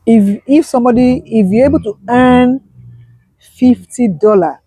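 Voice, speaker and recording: A woman's voice: on the high side, a little slow, warm tone, slightly rough, no room sound. A woman, a business owner, speaking as an interview guest and emphatically repeating her key points. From Nigeria. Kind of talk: conversational interview.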